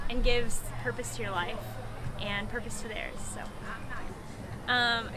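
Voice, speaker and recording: A woman explaining the work of a charity ministry in an upbeat manner, with frequent "um" fillers. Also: on the high side. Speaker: ministry representative. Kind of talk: informational pitch.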